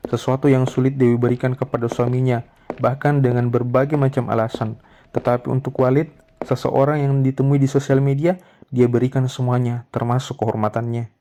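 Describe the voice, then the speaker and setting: A man's voice: medium pitch, level tone, a little fast, mellow. A man narrating a scripted drama story as its storyteller.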